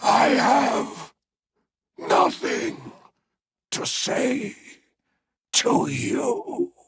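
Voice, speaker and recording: An actor playing a frail, dying ancient man in a video game, bitter and aghast, forcing out halting, breathy words. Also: very high voice, sing-song, a bit bright, exaggerated voice. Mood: anguished, bitter. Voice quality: weak, breathy, creaking gasps. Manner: halting, labored delivery.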